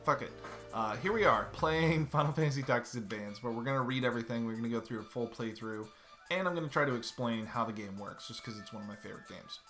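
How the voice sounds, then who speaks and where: A man's voice: mid-range voice, quick pace, pushed and bright, soft background music. A man in his thirties streaming a game from home, chatting loosely and swearing casually as he plays. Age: 30s. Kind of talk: casual let's-play commentary. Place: home room.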